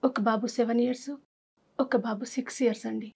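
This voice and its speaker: Female talk show guest, thirties, high voice, giving a personal confession. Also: steady tone, fairly quick, creaky, a little mellow, husky. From Andhra Pradesh, India. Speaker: guest seeking advice.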